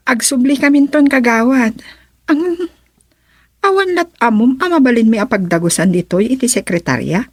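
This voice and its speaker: A woman's voice: fairly high pitch, expressive, moderate pace, breathy edge, no echo, studio quality. Actress as a composed, formal visiting lady. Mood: businesslike. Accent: Ilocano. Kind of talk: Ilocano radio comedy drama acting.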